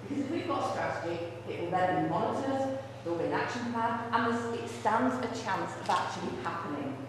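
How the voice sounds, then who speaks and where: A woman, a college vice principal giving a brisk, businesslike presentation to a room without a microphone. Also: on the low side, lively intonation. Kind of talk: presentation to a luncheon audience. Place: large echoey function room. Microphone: far room microphone. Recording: echoey hall from far off, faint noise.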